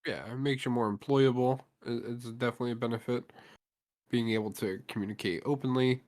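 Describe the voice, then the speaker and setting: A man's voice: mid-range voice, fairly even intonation, brisk, a little mellow. A man co-hosting a movie watch party stream, talking bluntly and swearing freely in casual chat.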